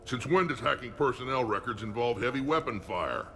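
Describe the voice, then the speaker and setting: An actor playing a confident, sardonic soldier with blunt, self-assured delivery in a video game. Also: male voice, mid-range voice, lively intonation, brisk, fairly bright tone, slight background noise. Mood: blunt, serious.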